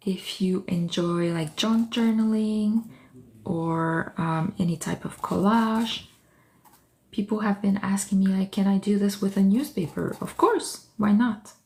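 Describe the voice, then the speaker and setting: A woman whose first language is French chats live from her art studio. She takes her time and thinks aloud with small fillers. She warmly welcomes each viewer by name while she works. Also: mid-range voice, a little slow. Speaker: artist hosting a livestream. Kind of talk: casual livestream chat while working.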